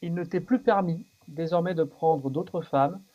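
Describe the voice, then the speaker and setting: A man in a live online debate reads a passage aloud in a steady, plain way. His voice sits fairly high. The sound is dull and lo-fi. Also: measured pace. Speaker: debate participant reading a verse.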